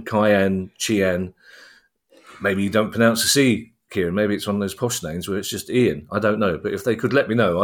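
A man co-hosting a casual football podcast, with a wry, self-deprecating sense of humour. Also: low voice. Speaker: podcast co-host.

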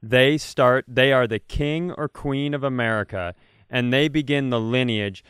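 A man with a smooth, clear voice at a middling pitch, recorded dry and close. He talks as a comedy podcast co-host, casual and rambling, pitching ideas with enthusiasm. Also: a little slow, a bit bright, no room sound.

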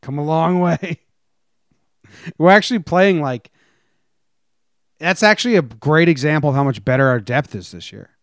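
A man's voice: fairly high pitch, normal speed, pauses often, no room sound, studio quality. A man co-hosting a sports podcast, talking casually and informally as a fan. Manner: informal, conversational. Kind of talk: casual chat, fan discussion.

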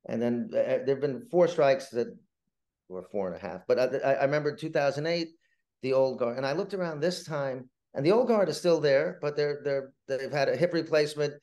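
An older man talking casually as an interview guest, wry and halting, often repeating words as he starts a phrase. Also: mid-range voice, darkish tone. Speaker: writer and showrunner, interview guest.

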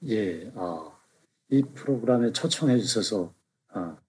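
A man from North Korea answering interview questions in Korean, with quick, soft-spoken replies. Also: on the low side, lively intonation, slight background noise. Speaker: guest, former intelligence officer.

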